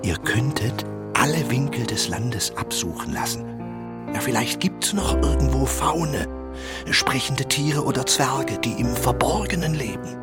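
An actor playing a wise, kindly, wistful old half-dwarf tutor in a children's radio play, speaking solemnly and deliberately. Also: male voice, medium pitch, animated, natural voice. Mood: hopeful, earnest. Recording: music behind the voice. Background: clear background music.

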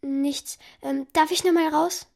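Casting: small schoolboy, high voice, evasive, radio play. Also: female voice, steady tone, a little slow, vocal fry, noisy background, heavily acted. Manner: short, evasive answers. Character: evasive young schoolboy talking to his parents. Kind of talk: radio drama.

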